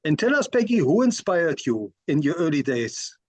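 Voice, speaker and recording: A man's voice: fairly high pitch, very animated, moderate pace, darkish tone, breathy edge, no room sound. A man hosting an interview, asking questions in a polite, friendly way. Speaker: interview host.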